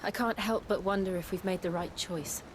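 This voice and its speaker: A woman's voice: mid-range voice, fairly even intonation, brisk, background noise, close to an everyday voice. An actress playing an earnest, idealistic woman outlaw in a video game drama. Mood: doubtful.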